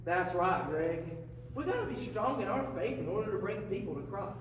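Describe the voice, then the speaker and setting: A man's voice: high voice, animated, moderate pace. A man playing a folksy, hearty radio co-host puppet with a Southern US accent in a scripted stage skit. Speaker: co-host of a puppet talk show. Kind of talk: scripted comedy talk show skit on stage. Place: large echoey hall, live performance. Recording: echoey hall from far off, crowd, noise.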